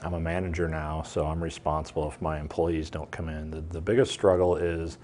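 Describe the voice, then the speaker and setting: A man speaking reflectively as a working adult student in a documentary interview, his sentences often trailing off softly. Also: a bass voice, flat delivery, fairly quick, slight background noise.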